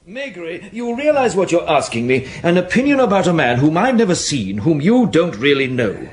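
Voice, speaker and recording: An actor playing a middle-aged family doctor in a radio drama, a careful, evasive old friend who speaks in a measured, reassuring way. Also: male voice, a little high, sing-song. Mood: cautious, measured. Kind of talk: naturalistic radio drama acting.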